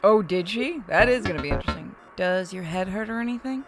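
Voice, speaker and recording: A woman's voice: mid-range voice, lively intonation, brisk, music in the background, natural voice. A woman playing a breezy, evasive doctor who falsely reassures a captive patient in a horror game.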